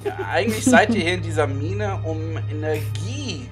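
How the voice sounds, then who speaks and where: A man narrating casually as game master of a tabletop game, with a halting, hesitant delivery. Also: a little high, lively intonation. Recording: music behind the voice.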